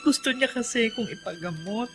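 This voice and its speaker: A woman's voice: fairly high pitch, expressive, moderate pace, soft background music, lightly acted. An actress playing an anguished, forgiving middle-aged mother in a Filipino radio drama, pleading emotionally with a Filipino accent. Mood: grieving. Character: anguished, forgiving mother worried for her wayward son.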